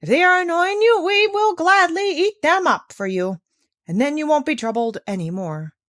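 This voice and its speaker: A woman's voice: sits high, animated, moderate pace, fairly bright tone, dry recording, studio quality, pitched above the performer's own voice. A woman voicing a large male shark who speaks politely and courteously in a storybook reading.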